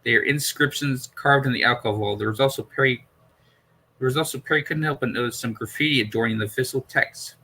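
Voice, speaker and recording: A man's voice: medium pitch, fast talker, bright, forceful tone, husky, low-quality recording. A man reading a science fiction novel aloud as an amateur narrator, stumbling over words and repeating phrases. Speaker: amateur audiobook reader.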